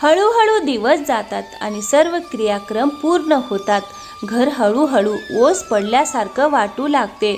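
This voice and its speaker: A woman's voice: high voice, animated, a little slow, darkish tone. A woman giving scripted storytelling narration for a moral tale. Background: music.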